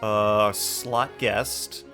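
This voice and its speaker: A man's voice: on the low side, steady tone, extremely slow, bright, forceful tone. A man hosting a casual gaming livestream, thinking aloud as he sets things up. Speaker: livestreamer, host. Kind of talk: casual gaming stream chat. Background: background music.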